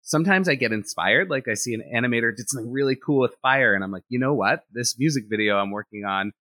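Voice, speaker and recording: A man, an animator and director, talking in a relaxed interview and thinking out loud with lots of "like" fillers. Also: mid-range voice, quick pace, dry recording. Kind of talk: casual interview conversation.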